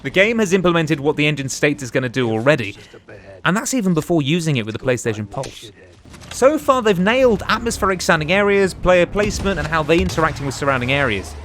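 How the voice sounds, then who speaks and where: A man's voice: fairly high pitch, sing-song, fast talker, fairly bright tone, slight background noise. A man narrating a scripted video game countdown as a reviewer, with an emphatic presenter's delivery. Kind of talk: scripted narration of a top five games list.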